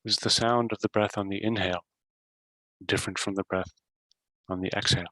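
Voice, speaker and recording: A man leading a guided meditation as host, giving simple instructions in short phrases with long pauses. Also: fairly low pitch, fairly even intonation, sharp tone, no echo, poor audio.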